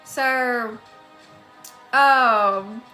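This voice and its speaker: A woman's voice: on the high side, drawn-out words, sharp tone, faint music behind. A woman live streaming, chatting casually and thanking viewers by name as she goes.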